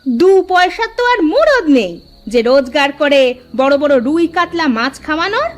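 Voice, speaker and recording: Woman voicing a very high-pitched village housewife. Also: very animated, normal speed. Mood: scornful, nagging. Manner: scolding, theatrical. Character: sharp-tongued, nagging village housewife of poor farmhand. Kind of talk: Bengali cartoon voice acting.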